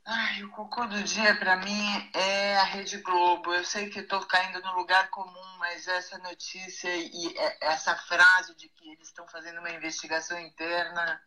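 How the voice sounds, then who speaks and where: Very bright, sharp-voiced outspoken woman. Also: on the low side, flat delivery, normal speed, hardly any pauses, rough recording. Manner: informal, outspoken. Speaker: political commentator and guest. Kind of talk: casual talk show commentary.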